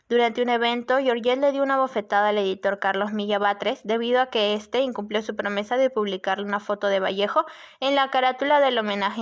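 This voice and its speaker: A woman reading a narration in a steady, informative way. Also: a little high. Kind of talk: read narration.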